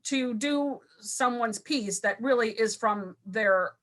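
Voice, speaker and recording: A woman actor talking about her role in a casual video-call talkback, halting with many fillers. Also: a little high, fairly bright tone.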